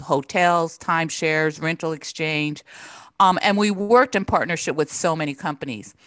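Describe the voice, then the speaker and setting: A middle-aged woman, a native Japanese speaker, answering interview questions over a video call from her living room, earnest and measured. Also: low-pitched, a bit bright, clear, resonant tone, dry recording. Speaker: professor, interview guest. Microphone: video call with desk mic.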